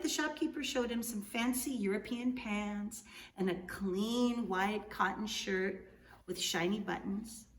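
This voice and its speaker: A woman narrates a storybook with expressive, dramatic phrasing. Her voice is medium in pitch and she lingers on key words. The recording is echoey, with faint background noise. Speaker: author reading storybook aloud. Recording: echoey room, distant mic, faint noise.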